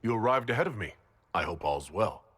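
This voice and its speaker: A caring heroic leader in a fantasy game. His low voice is husky and moves with lively inflection. He sounds sincere and measured. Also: dry recording. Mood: sincere, concerned. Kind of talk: dramatic video game dialogue.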